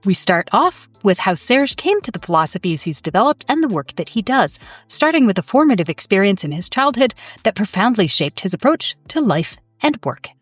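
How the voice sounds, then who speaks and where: A woman hosting a podcast, reading scripted narration in a smooth, measured way. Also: medium pitch, big pitch swings, no echo, faint music behind. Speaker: podcast host.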